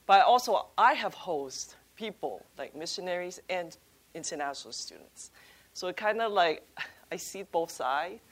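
A woman born in China, a campus ministry leader, introducing a workshop panel in a halting, upbeat way with many fillers. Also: lowish voice, animated.

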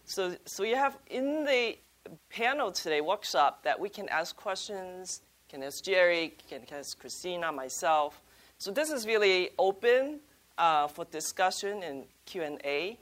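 A woman born in China, a campus ministry leader, introducing a workshop panel in a halting, upbeat way with many fillers. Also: lowish voice, animated.

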